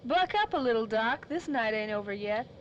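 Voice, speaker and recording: A woman's voice: on the high side, animated, normal speed, fairly bright tone, highs cut off, a little hiss or hum. A woman as a plucky 1930s radio-serial dame. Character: plucky, slangy nightclub dame mixed up in intrigue. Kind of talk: 1930s old-time radio drama acting.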